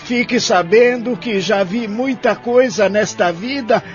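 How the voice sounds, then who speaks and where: A man's voice: high voice, measured pace. A man playing a lonely, remorseful elderly care-home resident in an audio drama, speaking earnestly and wistfully. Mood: reflective, uneasy. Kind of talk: audio drama acting and first-person narration. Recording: dull lo-fi audio, faint music behind.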